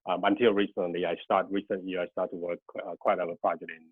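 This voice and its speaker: A man's voice: low-pitched, flat delivery, quick pace, dry recording. A man in his thirties from Taiwan whose first language is Mandarin, giving a guest lecture on a video call, hesitant with many ums and restarts. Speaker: guest lecturer, graphic designer. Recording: tinny dry video-call audio.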